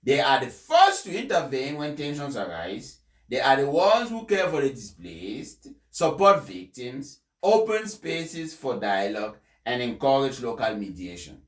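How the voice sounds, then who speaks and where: A man reads a formal speech aloud in a solemn oratorical way, pausing emphatically between short phrases. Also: mid-range voice, big pitch swings. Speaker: reader of an English translation of a papal speech. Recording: echoey room.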